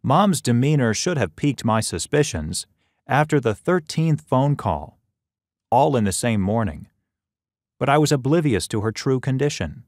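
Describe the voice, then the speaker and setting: A man's voice: medium pitch, big pitch swings, normal speed, a little mellow, no echo. Man narrating an audiobook, dry close sound. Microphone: close studio microphone.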